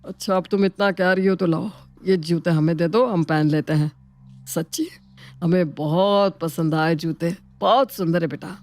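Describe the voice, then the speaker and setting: A woman voicing an elderly, grateful uncle in an animated moral story, speaking affectionately and repeating words effusively. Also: fairly low pitch, moderate pace, voice pushed down, natural voice. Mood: delighted. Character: elderly, grateful, easily flattered village uncle.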